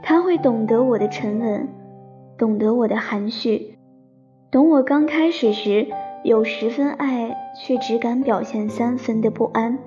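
A woman playing a shy, romantic young woman who tells her love story in a soft, sentimental radio drama style. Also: fairly high pitch, measured pace, natural voice. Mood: wistful, tender. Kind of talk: Chinese radio drama, sentimental first-person narration. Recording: slightly dull sound under piano music.

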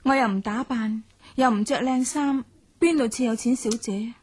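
Breathy, high-voiced lonely young woman. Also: normal speed, darkish tone. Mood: subdued. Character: lonely confined young writer, rich man's neglected daughter. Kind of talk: radio drama acting. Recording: music under the voice.

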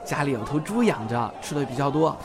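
A man's voice: a little high, full character voice. An actor playing a young male beat cop who is cheeky, brash and playful in an audio drama. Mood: teasing, casual.

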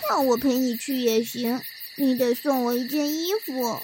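A woman's voice: sits high, normal speed, noisy background, natural voice. A woman acting a young, teasing, petty maidservant in a Chinese period audio drama. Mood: grumpy.

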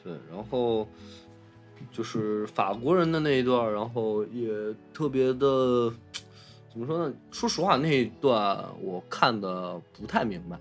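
A man's voice: mid-range voice, expressive, unhurried, soft background music. A man co-hosting a casual film discussion, recounting things hesitantly in short, piecemeal phrases. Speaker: podcast co-host.

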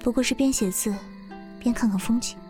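A woman playing a gentle, wistful young mother in a Chinese period audio drama, speaking softly and tenderly. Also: on the high side, close to an everyday voice. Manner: tender, soft, unhurried. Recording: background music under speech.

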